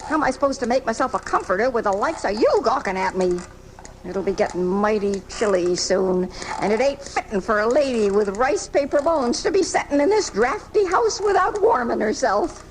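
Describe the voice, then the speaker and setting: Woman acting a high-pitched, feisty frail old lady. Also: lively intonation, moderate pace, natural voice. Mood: indignant, scolding. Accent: American. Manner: scolding, folksy, indignant. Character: feisty, fretful frail old spinster lady who defies death. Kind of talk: 1980s live radio drama acting. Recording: noisy amateur off-air radio recording from 1984.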